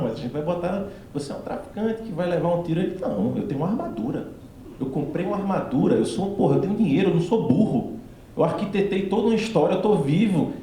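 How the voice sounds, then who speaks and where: A man from Recife with a strong Pernambuco accent, telling a story animatedly in a casual discussion after a film screening. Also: sits high. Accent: Pernambuco Northeastern Brazilian. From Recife, Pernambuco, Brazil. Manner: animated storytelling, laughs often. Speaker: actor, panel guest. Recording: very echoey hall, some noise.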